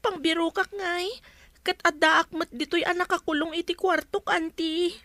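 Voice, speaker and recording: A woman performs a young niece held against her will. Her voice is extremely high and youthful, with a plaintive, earnest edge. She is stubborn and firm in a melodramatic audio drama style. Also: steady tone, normal speed, bright, forceful tone, no room sound, full character voice. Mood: hushed relief.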